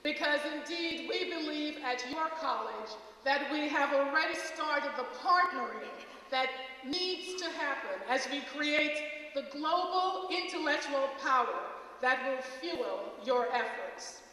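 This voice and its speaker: A woman's voice: sits high, lively intonation, bright, forceful tone. Jamaican-born woman speaking in a reverberant hall. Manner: measured, stately address. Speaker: college president. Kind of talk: formal welcome speech. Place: large auditorium. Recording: echoey hall recording from far off.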